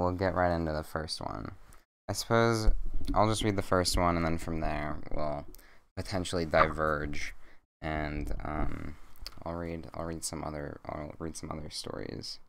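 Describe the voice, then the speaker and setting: Young guy on stream reading a bedtime story, deep smooth voice, kinda monotone and deadpan. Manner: self-described monotone, deadpan and joking. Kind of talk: casual chat and reading a bedtime story aloud.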